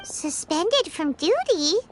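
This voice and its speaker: Squeaky childlike fairy companion. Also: female voice, sing-song, takes it slowly, a little hiss or hum, full character voice. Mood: curious. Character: tiny childlike fairy companion, curious and excitable. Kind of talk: English video game voice acting. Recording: music behind the voice.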